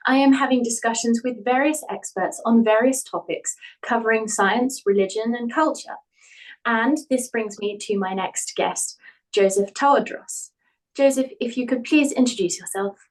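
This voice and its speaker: Woman host asking careful questions, kinda high voice, sounds a bit off mic. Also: recorded from a distance, rough recording. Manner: measured, polite questioning. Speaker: host and author.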